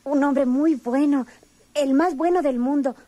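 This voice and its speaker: An actress playing a naive, eager young country heiress, in a radionovela. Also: female voice, high voice, measured pace, dark tone, dry recording. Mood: naive, curious. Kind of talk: radionovela acting.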